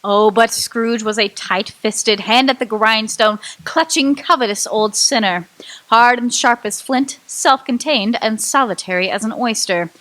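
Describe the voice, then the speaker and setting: A woman narrating a radio drama in a vivid, expressive manner. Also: a little high, measured pace.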